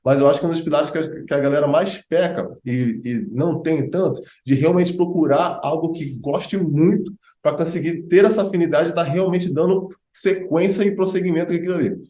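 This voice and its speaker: Fast-talking Brazilian man teaching, echoey room. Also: fairly high pitch, warm tone. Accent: Brazilian Portuguese. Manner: chatty, full of fillers and asides. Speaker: English teacher and host. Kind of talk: casual explanatory chat.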